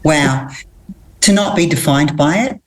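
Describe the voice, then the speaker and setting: A middle-aged Australian woman, a women's coach, answering interview questions in a thoughtful, earnest way with pauses and restarts. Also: deep voice.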